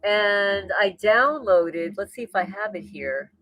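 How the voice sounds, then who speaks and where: A woman's voice: medium pitch, animated, slow, a bit bright. A woman with a New Jersey accent hosting a casual livestream, reading and answering viewer comments as she goes. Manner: chatty, thinks aloud, frequent restarts and laughs. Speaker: livestream host.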